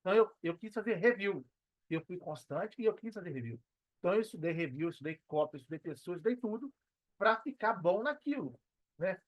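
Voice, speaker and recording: A man's voice: sits high, expressive, brisk, no room sound, dull audio. A Brazilian man speaking casually and emphatically as an interview guest, using colloquial speech and often quoting his own thoughts. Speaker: podcast guest, affiliate marketer. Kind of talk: casual interview talk, telling own experience.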